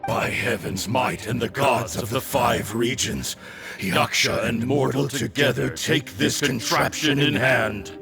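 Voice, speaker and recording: A man's voice: mid-range voice, measured pace, gravelly, loud music behind the voice. A man playing a solemn ancient guardian in a video game, reciting a ceremonial incantation. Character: solemn ancient guardian chanting a sealing spell.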